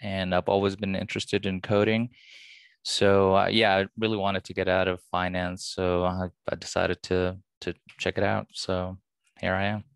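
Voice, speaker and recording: A young man from Lebanon on a headset, giving a steady, matter-of-fact introduction from a room at home. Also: sits low, moderate pace, gravelly, clear, resonant tone, no echo. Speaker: student introducing himself. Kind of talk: casual self-introduction on a video call.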